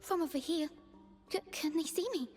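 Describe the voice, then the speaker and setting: A woman's voice: high-pitched, normal speed, a little mellow, lightly acted. A woman voicing a shy, anxious young female dream fawn in a video game, sweet and wistful, with a timid, hesitant, apologetic way of speaking. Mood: shy, nervous. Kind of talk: video game character voicelines. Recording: game voice clips over music.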